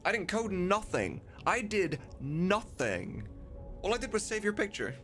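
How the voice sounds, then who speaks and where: A man's voice: high-pitched, sing-song, measured pace, fairly bright tone, soft background music. A man chatting casually and informally as a livestream host, with some mild swearing. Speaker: livestreamer and host of a game voice-dubbing mod team.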